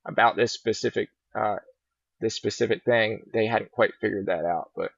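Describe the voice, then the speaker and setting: A middle-aged man, a fishing guide, chatting in a relaxed, rambling way on a podcast, heard over a video call from a large indoor showroom. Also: lowish voice, moderate pace, creaky, breathy edge, no echo. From Virginia. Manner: easygoing, rambling storyteller. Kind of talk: casual podcast interview. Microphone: laptop webcam on a video call. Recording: muffled dull video call audio.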